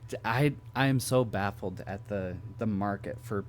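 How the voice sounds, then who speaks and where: A hoarse man hosting a podcast from home, talking casually and reflectively and asking questions. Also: lowish voice, moderate pace. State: hoarse, clearing his throat. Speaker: podcast host. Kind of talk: casual conversation.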